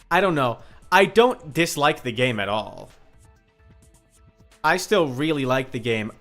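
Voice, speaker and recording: A man's voice: medium pitch, fairly bright tone. A man streaming a game and chatting casually, giving emphatic, opinionated commentary. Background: game music.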